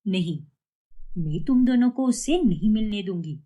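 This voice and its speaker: A woman's voice: mid-range voice, expressive, normal speed, dark tone, natural voice. A woman voicing a cold, defiant, scheming mother in a cartoon, speaking in a curt, hostile manner. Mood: cold defiance.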